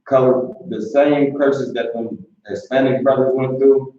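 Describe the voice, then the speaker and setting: A man's voice: medium pitch, steady tone, unhurried, warm tone. A man chimes into a class discussion in casual street speech. He is far from the mic in a very echoey space, and the sound is dull. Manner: casual, loose street phrasing. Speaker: class member reading scripture. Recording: very echoey, distant, dull recording.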